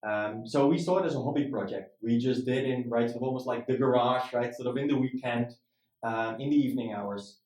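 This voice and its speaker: Rambling founder, roomy sound. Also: male voice, medium pitch, a little fast, darkish tone. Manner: rambling, frequent "right?" tag questions. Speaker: startup founder guest. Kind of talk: casual interview talk. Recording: roomy echo.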